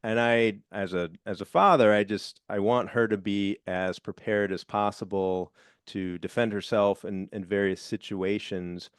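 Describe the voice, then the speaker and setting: A man's voice: lowish voice, steady tone, measured pace, clean tone, no room sound. A middle-aged man hosting a podcast interview in a friendly way, with the odd "uh" and "um". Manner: friendly, with fillers like "uh" and "um". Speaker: podcast host. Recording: dry close mic, faint music.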